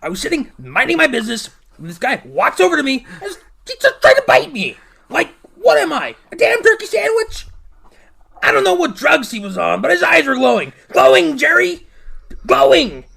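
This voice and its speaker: An excitable, complaining sitcom man, acted out in a parody roleplay. The voice is high and very gravelly, swinging around as it whines and stammers. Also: a little fast, heavily acted. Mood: agitated. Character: neurotic, whiny, excitable male sitcom friend.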